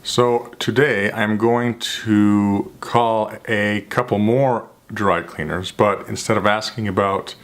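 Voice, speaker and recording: A man's voice: on the low side, steady tone. A man who stutters, with repeated sounds and restarts, talking earnestly and reflectively to camera in a vlog. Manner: measured, earnest, self-reflective. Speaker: vlogger.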